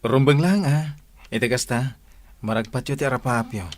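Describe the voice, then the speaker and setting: A man playing an earnest, devoted young suitor in an audio drama, with a husky voice. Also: medium pitch, moderate pace, lightly acted. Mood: agreeable.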